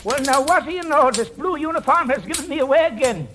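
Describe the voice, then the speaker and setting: Nice apologetic cop, high bright voice, old radio show with some hiss. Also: male voice, expressive, fast talker, heavily acted. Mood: mildly official. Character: polite, apologetic male policeman. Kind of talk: old-time radio comedy acting. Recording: hissy vintage radio recording.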